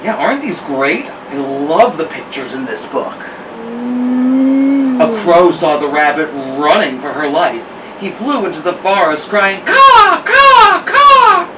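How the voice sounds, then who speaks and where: A man hosting storytime, reading a children's picture book with expressive, deliberate phrasing. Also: on the high side. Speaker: storytime host and reader. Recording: roomy, distant mic, noisy hum.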